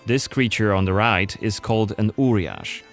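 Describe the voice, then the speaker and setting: A man with a fairly low voice gives scripted narration as a game presenter. Faint music plays behind him. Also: moderate pace.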